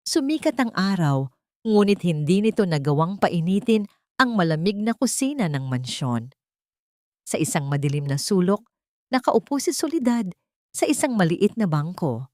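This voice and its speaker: A woman's voice: lowish voice, sing-song, normal speed, mellow, dry recording, studio quality. A woman storyteller reading a scripted dramatic narration.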